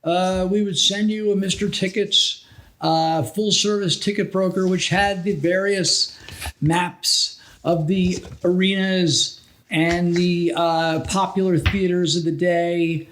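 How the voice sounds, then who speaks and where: High-pitched middle-aged man, rambling casually. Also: fairly even intonation, slow, fairly bright tone. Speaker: podcast host and wrestling veteran. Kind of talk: casual conversational reminiscing.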